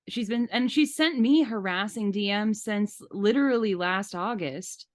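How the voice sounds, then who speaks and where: A woman's voice: medium pitch, no echo. Indignant woman podcaster, close dry sound. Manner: indignant, emphatic. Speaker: podcast host. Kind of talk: casual opinionated podcast chat.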